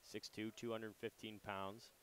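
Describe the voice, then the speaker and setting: A young adult man doing casual radio baseball commentary and play-by-play from a ballpark booth. He talks in a relaxed, chatty way with loose fillers. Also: fairly low pitch, unhurried, a little hiss or hum, poor audio.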